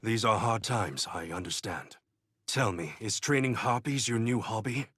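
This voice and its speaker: An actor playing a stern, indignant army captain in a fantasy video game. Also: male voice, fairly low pitch, normal speed, a bit bright, natural voice. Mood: wary. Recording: faint background noise.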